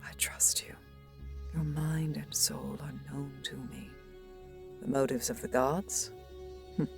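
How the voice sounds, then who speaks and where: A woman playing a brooding, wary alien seer in an audio drama, speaking in a hushed, slow and solemn way. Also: extremely low pitch, steady tone, vocal fry, music in the background, full character voice. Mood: wary doubt.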